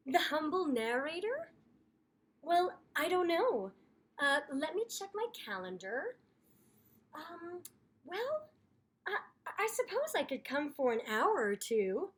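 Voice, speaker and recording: A woman voicing a pesky, excitable chicken narrator in a picture book, fussy, theatrical and coy. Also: sits high, slow pace, pauses often, heavily acted. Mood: flustered, coy. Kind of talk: picture book read-aloud.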